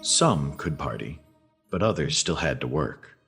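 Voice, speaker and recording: A man's voice: very deep voice, sing-song, unhurried, vocal fry, fairly bright tone, soft background music, heavily acted. A man playing the narrator of a Christmas audio drama, reading scripted story narration. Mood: measured storytelling.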